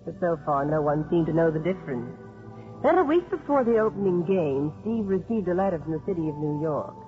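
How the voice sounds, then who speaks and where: A composed, firm official played by a woman, measured and pointed in her well-spoken delivery. The sound is a muffled 1950s radio drama with faint music. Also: on the low side, lively intonation, close to an everyday voice. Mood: composed, wry. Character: composed, firm female welfare official, also narrating.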